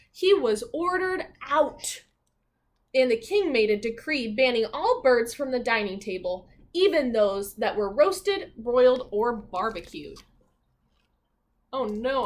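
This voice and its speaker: Slow, higher-voiced woman reading a storybook. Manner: expressive reading, pausing to ask simple questions. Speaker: teacher reading aloud. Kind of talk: children's storybook read-aloud with questions to listeners.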